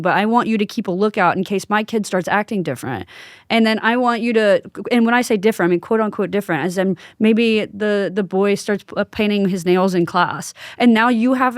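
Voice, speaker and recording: A woman's voice: mid-range voice, dry recording, clean studio sound. A woman in her thirties hosting a casual interview, talking emphatically and often checking that she is understood. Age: 30s. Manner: emphatic, conversational, frequent rhetorical check-ins. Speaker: podcast host and interviewer.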